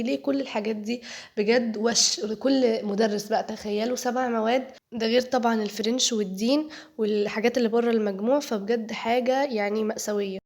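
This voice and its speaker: Fast-talking teen girl with a cold. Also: on the high side, gravelly, a little mellow. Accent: Egyptian Arabic. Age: teens. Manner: chatty, jokey, addresses viewers directly. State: has a cold, voice sounds off. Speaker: vlogger, high school student. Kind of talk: casual voice-over vlog narration to viewers.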